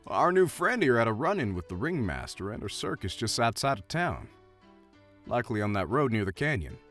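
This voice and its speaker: A man acts as a folksy saloon bartender in a western audio play. His pitch swings around a lot as he gossips or placates, over faint background music. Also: a little fast. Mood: gossipy. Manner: folksy, animated. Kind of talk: Old West audio roleplay acting.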